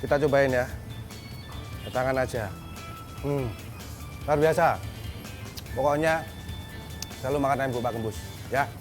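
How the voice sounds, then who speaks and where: A man's voice: medium pitch, measured pace, pauses often, faint music behind. A man from Central Java, a restaurant owner explaining things informally to camera. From Magelang, Central Java, Indonesia. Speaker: restaurant owner being featured.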